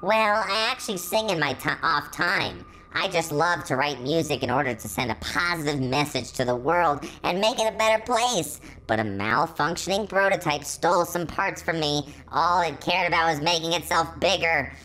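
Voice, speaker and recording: A woman's voice: sits low, normal speed, hardly any pauses, a bit bright, low-quality recording. Woman playing a polite, weary lady robot. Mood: weary, earnest. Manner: courteous, formal. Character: polite, weary female floating robot stranger.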